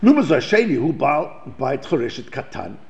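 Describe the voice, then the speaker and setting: A man's voice: mid-range voice, very animated, moderate pace, creaky. A man from South Africa teaching a Torah class, reading Hebrew passages and explaining them in English, with frequent restarts and repeated words. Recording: lo-fi, dull recording.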